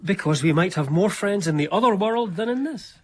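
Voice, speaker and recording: An earnest young eighteenth-century gentleman in a radio play. His voice is a little high and somewhat breathy, and he speaks in a gently probing way. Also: lively intonation, brisk. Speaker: actor in a radio drama.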